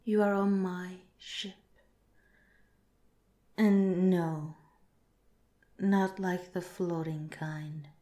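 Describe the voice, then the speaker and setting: A woman voicing a calm, curious alien researcher in a softly spoken, part-whispered ASMR roleplay, with formal, measured, clinical phrasing, in an echoing recording. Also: fairly low pitch. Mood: calm, reassuring. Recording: roomy, echoing voice.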